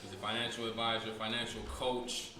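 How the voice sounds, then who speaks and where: A man in his late twenties from San Francisco, hosting a casual podcast in a relaxed, slangy way with lots of fillers. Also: lowish voice, fairly quick, crowd noise. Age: 20s. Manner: laid-back slangy talk with many fillers. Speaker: podcast host. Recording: echoey room with noise.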